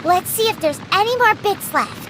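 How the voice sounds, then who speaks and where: A woman's voice: extremely high pitch, lively intonation, normal speed, noisy background. An actress playing an earnest, plucky young girl adventurer in an anime video game. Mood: curious, concerned.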